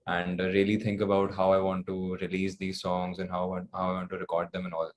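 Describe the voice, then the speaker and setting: Low-voiced young Indian man, casual interviewee. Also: a little hiss or hum. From New Delhi, India. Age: young adult. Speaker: guest, singer-songwriter.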